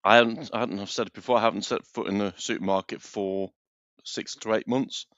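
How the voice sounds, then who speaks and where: A British man in casual chat, earnest and opinionated with a halting delivery, speaking with a mild sore throat. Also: lowish voice, fairly even intonation, a bit bright, no echo, muffled recording.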